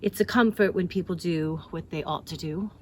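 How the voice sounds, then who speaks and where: An actress plays a wistful, resigned woman in a story dramatization. Her voice is very low and dry, with clear noise behind it. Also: moderate pace, natural voice. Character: wistful, disappointed woman musing on men. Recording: clearly noisy home recording.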